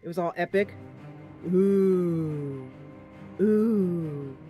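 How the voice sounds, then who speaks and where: Tired, self-deprecating woman streamer; slow, low-ish, chatty; dry close desk mic. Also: mellow, soft background music. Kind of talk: casual live-stream chat. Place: home room at computer.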